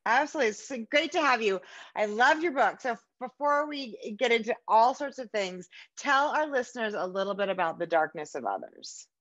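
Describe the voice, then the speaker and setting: A woman's voice: a little high, lively intonation, moderate pace, fairly bright tone, no room sound, muffled recording. A middle-aged woman hosting a podcast, introducing and interviewing a guest over a video call. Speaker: podcast host and suspense author. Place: home room with bookshelves, indoors.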